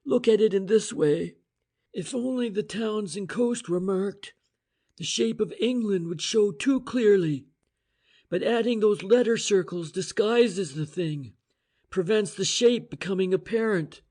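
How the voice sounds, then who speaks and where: A brisk, excitable police inspector. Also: male voice, high-pitched, voice pushed up. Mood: explaining. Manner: eager, emphatic and commanding.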